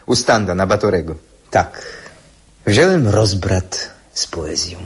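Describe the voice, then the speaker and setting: Slow, husky dreamy young poet. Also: male voice, low voice, expressive, heavily acted. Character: dreamy, theatrical teenage schoolboy poet. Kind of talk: radio drama acting.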